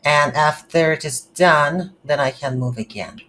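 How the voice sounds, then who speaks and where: Slow, hesitant tutorial instructor. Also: medium pitch, fairly bright tone. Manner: hesitant, thinking aloud in short phrases. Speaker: game development tutorial instructor. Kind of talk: unscripted screen-recorded tutorial narration. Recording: faint background noise.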